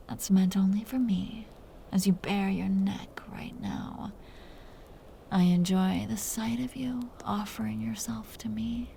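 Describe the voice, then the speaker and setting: Dominant, predatory female vampire played by a woman for intimate audio drama: amused, softly condescending, unhurried, whispery with a creaky edge. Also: mid-range voice, a little hiss or hum. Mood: hungry, seductive. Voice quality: breathy, whispery. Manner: slow, teasing, softly condescending.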